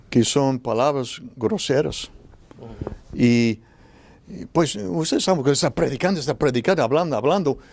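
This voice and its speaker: A man speaking on a church conference panel about preaching, with many pauses and restarts. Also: mid-range voice, a little mellow. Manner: hesitant, frequent restarts. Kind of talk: panel discussion.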